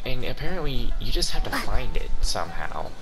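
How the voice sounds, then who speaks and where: A man's voice: mid-range voice, very animated, moderate pace, low-quality recording. Man casually commentating over game music. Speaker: gaming video host. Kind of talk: casual playthrough commentary, reading game choices aloud.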